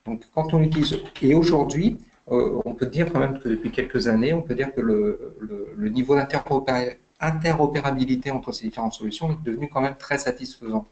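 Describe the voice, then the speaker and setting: A man's voice: mid-range voice, fairly quick, darkish tone, lo-fi sound. A man giving a webinar talk as invited expert, hesitant, with many fillers and restarts. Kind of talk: webinar explanation.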